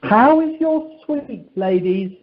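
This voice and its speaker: Lady doing eager polite tour rep, slow and lively, highish, amateur radio comedy, dull phone sound. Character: eager, courteous holiday rep. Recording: dull telephone conference line.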